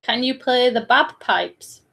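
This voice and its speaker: A woman's voice: on the high side, slow pace, vocal fry, fairly bright tone, breathy edge. A woman from northern England chatting casually as co-host, teasing and laughing often. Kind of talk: casual chat.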